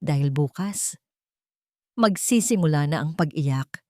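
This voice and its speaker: A woman's voice: low voice, animated, unhurried, mellow, dry recording, studio quality. A Filipino woman voicing a cold, commanding, wealthy businesswoman bent on revenge, firm and clipped, in an audiobook drama. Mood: quietly vengeful.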